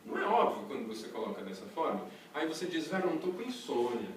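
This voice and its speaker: Lively man lecturing in an echoey room. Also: on the high side, fast, far from the mic, a little hiss or hum. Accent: Brazilian Portuguese. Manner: chatty, lively, asks the class rhetorical questions. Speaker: breathing workshop teacher. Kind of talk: casual workshop lecture to a small group. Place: workshop room with a small audience.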